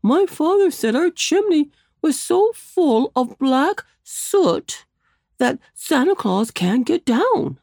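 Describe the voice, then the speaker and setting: A woman's voice: sits high, sing-song, slow pace, gravelly, darkish tone, no echo, studio quality, voice pushed up, exaggerated voice. A woman voicing a downcast little boy in a storybook. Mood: gloomy. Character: gloomy little boy with sooty chimney.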